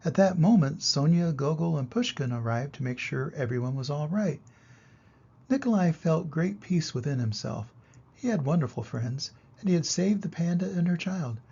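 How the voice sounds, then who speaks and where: An older man reading a children's story aloud as a grandfather, with expressive, deliberate narration and dramatic emphasis. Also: on the high side, creaky, husky, muffled recording.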